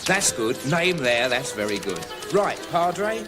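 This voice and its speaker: An actor playing an oily, cheerful, chatty wedding fixer who rambles matily and repeats himself in a radio comedy. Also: male voice, medium pitch, very animated, a little slow, loud music behind the voice. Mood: breezy, pushy.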